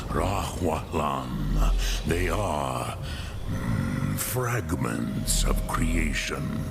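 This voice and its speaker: An actor playing an ancient, wise and patient dragon in a fantasy video game, speaking slowly and solemnly with a gravelly growl and archaic phrasing. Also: male voice, exaggerated voice. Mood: solemn, explanatory. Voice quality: very deep, gravelly, breathy growls. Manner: slow, solemn, deliberate, archaic phrasing with foreign words. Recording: loud music under the voice. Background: loud game music.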